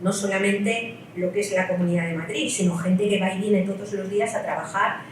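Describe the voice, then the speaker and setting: Spanish woman giving a talk, big roomy hall, sounds a bit far off, faint rumble, mid-pitched. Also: steady tone, brisk. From Spain. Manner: explanatory, frequent rhetorical "¿no?" tags. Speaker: speaker at a political meeting. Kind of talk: public lecture. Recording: echoey hall, mic at a distance.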